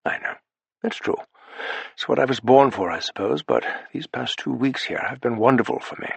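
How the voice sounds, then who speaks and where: A man's voice: mid-range voice, vocal fry, breathy edge, dry recording. A man voicing a weary aristocratic male agent who turns urgent and clipped when alarmed.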